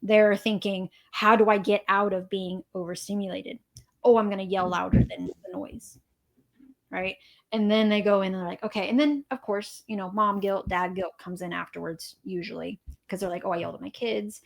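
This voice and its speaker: A young adult woman, a therapist guest on a podcast, explaining calmly and acting out example dialogue. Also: medium pitch, normal speed, vocal fry. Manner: explanatory, acts out example dialogue, frequent affirmations. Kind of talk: casual interview.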